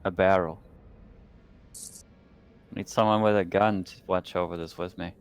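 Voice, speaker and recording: A man's voice: low-pitched, fairly even intonation, unhurried, clear, resonant tone. A man playing a tabletop roleplaying game, speaking in character. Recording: music playing behind the voice. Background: background music.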